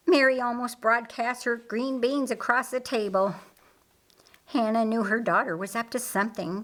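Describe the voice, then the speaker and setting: A woman narrating an audiobook story with dialogue in an expressive storytelling manner. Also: on the high side, low-quality recording.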